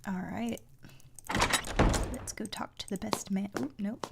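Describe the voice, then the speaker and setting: A woman's voice: medium pitch, steady tone, measured pace, gravelly. A woman giving casual gameplay commentary as she streams a video game. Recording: loud noise, clicks.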